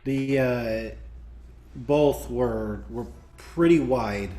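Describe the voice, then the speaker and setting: A man's voice: medium pitch, very slow, warm tone. A man giving casual feedback on a video call. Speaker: rehearsal participant giving sound feedback. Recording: roomy, echoing video call audio.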